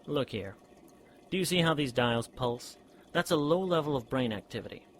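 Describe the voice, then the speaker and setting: A man's voice: mid-range voice, animated, normal speed, a little hiss or hum, close to an everyday voice. An actor playing an eager, eccentric scientist in a period radio drama, precise and earnest as he lectures. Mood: enthusiastic. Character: eager eccentric male scientist, brother of a detective.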